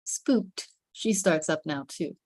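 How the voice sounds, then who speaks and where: A woman's voice: lowish voice, slow pace, darkish tone, slightly rough, no room sound, clean studio sound. A woman reading stage directions as dramatic scripted narration, with suspenseful, emphatic phrasing.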